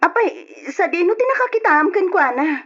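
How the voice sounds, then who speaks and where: Casting: worried, dutiful Ilocano wife in vintage radio drama; highly animated pitch, muffled recording. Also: female voice, moderate pace, full character voice. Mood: puzzled, uneasy. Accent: Filipino, Ilocano. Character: anxious, dutiful Filipina wife, soft-spoken but doubting. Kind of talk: old-fashioned radio drama acting.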